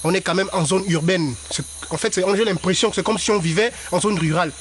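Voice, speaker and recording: A man's voice: high voice, quick pace, a little hiss or hum. A local man, indignant and insistent, speaking outdoors beside a river in a street interview. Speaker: local resident.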